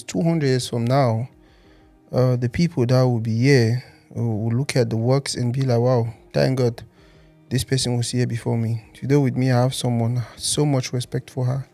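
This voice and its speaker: A man from Cameroon hosting a podcast, chatting casually and prompting his guest. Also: medium pitch. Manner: relaxed, chatty, prompts guest with short interjections. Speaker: podcast host. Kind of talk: casual interview chat.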